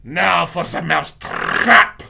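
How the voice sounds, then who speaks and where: A man voicing a menacing, imperious female witch leader in a storybook, with commanding, drawn-out delivery. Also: medium pitch, animated, gravelly, very noisy. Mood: eager, gloating.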